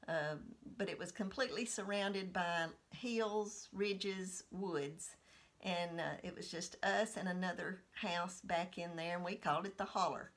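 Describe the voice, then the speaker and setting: A woman in her sixties telling a personal childhood story in an unhurried, reminiscing manner. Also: fairly low pitch, a bit bright. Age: 60s.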